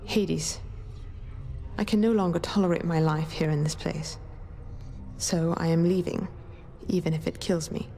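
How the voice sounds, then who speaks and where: A weary, resolute queen with a lowish, mellow voice and plenty of fry. She reads softly and wistfully, as a letter voice-over in a fantasy game. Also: steady tone. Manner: soft, measured, wistful.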